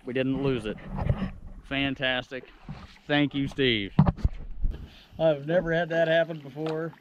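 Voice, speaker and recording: A man's voice: medium pitch, flat delivery, takes it slowly, dull audio, background noise. A man in his thirties vlogging casually and enthusiastically while paddling a kayak on a creek, filmed on a boat-mounted action camera. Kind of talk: casual outdoor vlog narration. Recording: loud music over outdoor audio. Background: loud music.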